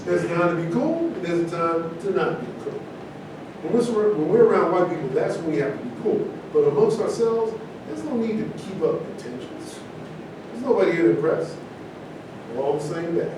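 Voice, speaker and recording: A distant, noisy recording with heavy echo carries an actor as a provocative, argumentative man with a high voice. He declaims his opinions as in a stage play. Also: lively intonation, moderate pace. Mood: opinionated. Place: echoey room at a live staged reading. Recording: distant mic, very echoey room, noisy rumble.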